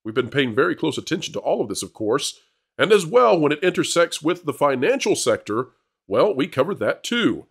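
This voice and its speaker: A man's voice: on the high side, very animated, brisk, gravelly, husky. A man hosting commentary and panel talk, with an emphatic, wordy presenter's delivery. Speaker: host of an entertainment business news channel.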